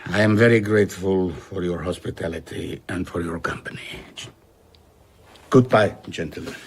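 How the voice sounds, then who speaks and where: An actor playing a haunted, wistful older former traveller, speaking in a husky voice and reflective, earnest manner in an audio drama. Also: male voice, deep voice, moderate pace. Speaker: narrator and central character. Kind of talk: audio drama narration and dialogue.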